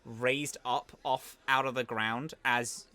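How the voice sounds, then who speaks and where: A man narrating as game master in an improvised tabletop roleplaying session, halting and thoughtful as he goes. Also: medium pitch, steady tone, pushed and bright.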